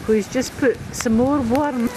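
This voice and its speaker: A woman's voice: fairly high pitch, fairly even intonation, takes it slowly. A woman filming her family at the seaside, chatting and laughing her way through a casual home-video commentary. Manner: chatty, amused, laughing often. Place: pebble beach by the sea, outdoors. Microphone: handheld camcorder mic. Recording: camcorder with wind and surf noise.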